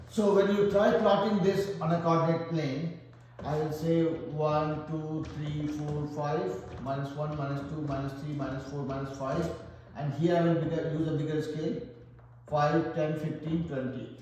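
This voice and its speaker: A man's voice: fairly high pitch, a little mellow, slight background noise. Indian man tutoring math in an echoey room. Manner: explains step by step, thinks aloud while working. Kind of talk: whiteboard math lesson.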